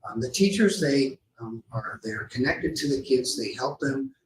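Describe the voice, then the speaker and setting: A middle-aged man answering interview questions as a school principal, with halting, hesitant phrasing. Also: medium pitch, animated. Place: small studio room, live recorded show. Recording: roomy, distant mic, faint background noise.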